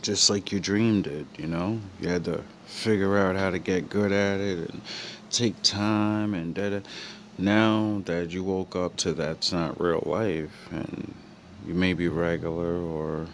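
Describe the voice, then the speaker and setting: A man's voice: deep voice, fairly even intonation, measured pace. A man giving a casual, reflective monologue in a musing, philosophical way, often letting thoughts trail off. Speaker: commentator reacting to film villain quotes. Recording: clear background noise.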